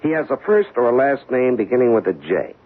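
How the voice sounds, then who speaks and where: A man's voice: mid-range voice, big pitch swings, a little fast. A plain-spoken homicide detective in a seventies radio drama. His speech swoops about colloquially as he explains and defends his work. The sound is thin and tinny, like an old radio broadcast. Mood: wry, chatty. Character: dogged plain-spoken police homicide detective, a weary husband. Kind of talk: 1970s radio drama acting.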